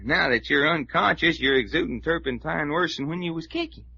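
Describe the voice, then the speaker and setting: An actor playing a folksy, wisecracking Southern US sidekick with a colloquial drawl, in an old-time radio serial. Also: male voice, fairly high pitch, a little fast, vocal fry, pushed and bright, husky, heavily acted. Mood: straining, joking. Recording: dull, noisy old radio recording.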